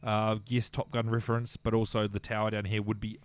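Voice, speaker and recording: A man's voice: on the low side, little pitch movement, clear, resonant tone, no room sound. A man with a New Zealand accent co-hosting a tech podcast, chatty and jokey. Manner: chatty, jokey, quick asides. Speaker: podcast co-host. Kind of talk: casual tech chat.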